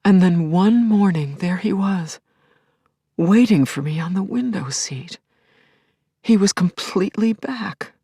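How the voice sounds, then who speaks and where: An actress playing a tender, yearning middle-aged widow in an audio play, with a husky, breathy, confiding delivery that often drops toward a whisper. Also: female voice, medium pitch, animated, mellow, no echo, clean studio sound, full character voice. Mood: bereft, recounting. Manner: confiding, halting, emotionally charged. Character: grieving middle-aged widow recalling her returned dead husband, tender, yearning. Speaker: lead actor in an audio play. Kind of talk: intimate first-person audio drama monologue.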